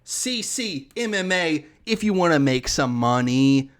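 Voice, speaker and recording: A man's voice: a little high, animated, a little slow. A man co-hosting a podcast in casual sports chat, giving fight predictions in a slangy, emphatic, bantering way. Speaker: co-host of an MMA podcast.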